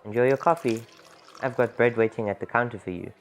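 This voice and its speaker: A man's voice: mid-range voice, a little fast, natural voice. A man acting a genial, casual bakery keeper chatting with his regulars in a radio drama.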